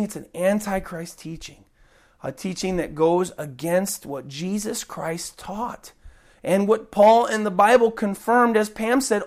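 A woman preaching an emphatic sermon while recovering from a cold and fighting to keep her voice. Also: medium pitch. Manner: emphatic, repeats key points, swings between loud stresses and soft drops.

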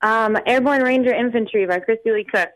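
A woman's voice: on the high side, fairly quick, a crowd in the background, low-quality recording. A woman calling a radio show by phone, giving casual short answers as a contestant. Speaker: call-in contestant. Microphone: telephone.

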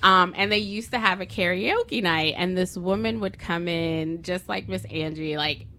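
A woman's voice: fairly low pitch, measured pace, bright, forceful tone, slight background noise. A middle-aged American woman from Silver Spring, Maryland, a writer speaking as a guest in a studio. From Silver Spring, Maryland, USA. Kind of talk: story reading and casual studio interview.

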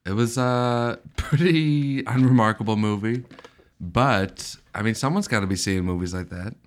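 A man's voice: on the low side, unhurried. A man co-hosting a podcast, chatting in a casual, slangy way. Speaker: podcast co-host.

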